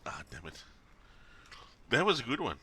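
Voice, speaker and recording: A man hosting casual reaction commentary, loose and joking with quick exclamations. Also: mid-range voice, wildly swooping pitch, background noise. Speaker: host reacting to videos.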